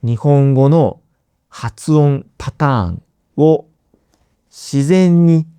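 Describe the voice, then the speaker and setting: A man hosting a language-learning podcast, explaining things slowly and simply, repeating key words and asking listeners friendly questions. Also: fairly high pitch, mellow, clean tone, no room sound. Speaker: Japanese teacher, podcast host.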